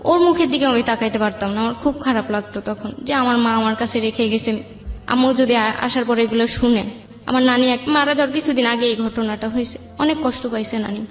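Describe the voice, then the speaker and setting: A woman's voice: fairly high pitch, brisk. Bangladeshi woman in an echoey room. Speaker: interview guest. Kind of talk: personal life storytelling, conversational. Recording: echoey room, dull sound, faint music.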